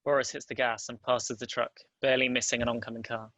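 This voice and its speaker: A man's voice: medium pitch, level tone, fast, pushed and bright, dry recording. A man reading stage directions as the narrator of a screenplay.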